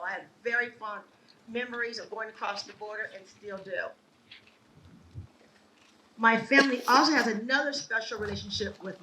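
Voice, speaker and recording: A woman giving a prepared speech at a ceremony, telling her family's history in a measured, earnest way. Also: on the high side, recorded from a distance, background noise. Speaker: ceremony speaker. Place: outdoor ceremony.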